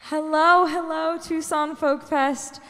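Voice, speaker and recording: A woman's voice: high voice, level tone, slow, sharp tone, big-room sound. A woman folk singer-songwriter chatting from an outdoor festival stage mic. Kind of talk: stage banter and sound check. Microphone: stage vocal mic.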